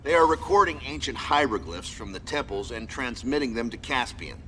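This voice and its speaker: A man's voice: mid-range voice, lively intonation, normal speed, fairly bright tone, a little hiss or hum, lightly acted, rough recording. A man playing a stern military commander who briefs his squad in a video game, formal, clipped and authoritative. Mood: stern, businesslike. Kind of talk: video game cutscene acting, mission briefing.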